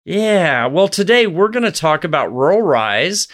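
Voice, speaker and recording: A man's voice: high-pitched, big pitch swings, unhurried, a bit bright. A man hosting a podcast, reading a scripted introduction and then chatting with his guests in an upbeat, welcoming way. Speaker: podcast host.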